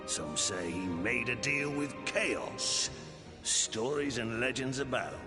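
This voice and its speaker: An actor playing a rough, mocking pirate captain in a video game, gravelly and theatrical, full of pirate slang. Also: male voice, mid-range voice, lively intonation, takes it slowly, over music. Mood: sly, storytelling. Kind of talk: video game cutscene acting.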